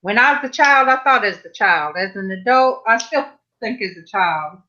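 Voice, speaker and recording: A woman's voice: medium pitch, expressive, moderate pace, sharp tone, lo-fi sound. A middle-aged woman, hosting an online church panel in a folksy, chatty way over a video call. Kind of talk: casual chat on a livestream.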